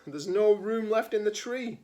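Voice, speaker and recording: A man reading a picture book aloud to children as a teacher-librarian, with playful dramatic emphasis, pauses and chatty asides. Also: high-pitched, mellow.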